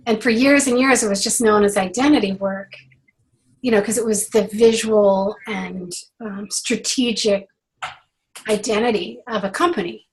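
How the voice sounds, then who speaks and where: Middle-aged woman, hoarse from a cold, relaxed host on a group call, talks in loose restarts. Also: mid-range voice, normal speed, poor audio. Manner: chatty, many fillers and restarts. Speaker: branding coach hosting a clinic.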